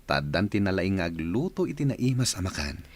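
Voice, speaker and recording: A man's voice: low voice, big pitch swings, a little fast, no echo. A man playing an easygoing, affectionate but evasive husband and father in an Ilocano radio drama.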